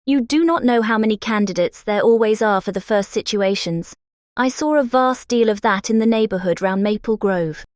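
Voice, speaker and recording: A woman playing a pushy, self-important married gentlewoman in an audiobook drama, with a British accent and a gushing, patronising manner. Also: mid-range voice, normal speed, no echo, studio quality, natural voice. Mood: officious, boastful. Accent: British English. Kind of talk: dramatized classic novel audiobook.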